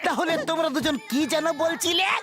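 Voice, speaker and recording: A man voicing a stern, boastful police inspector in a cartoon, declaiming pompously with drawn-out words. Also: very high voice, loud music behind the voice. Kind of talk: exaggerated cartoon dub acting.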